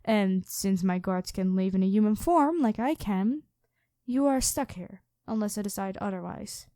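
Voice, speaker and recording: A woman playing an imperious dragon queen in human form, speaking in a measured, condescending way in an ASMR roleplay addressed to the listener. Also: mid-range voice, animated, mellow, no echo, studio quality, lightly acted. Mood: firm, warning. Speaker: voice actor in an audio roleplay.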